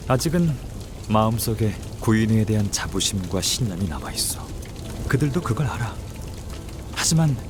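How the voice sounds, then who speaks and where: An actor playing a bitter, guilty betrayer in a game drama, speaking in a deliberate, taunting way. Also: male voice, on the low side, natural voice. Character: bitter, guilt-laden male betrayer making a confession.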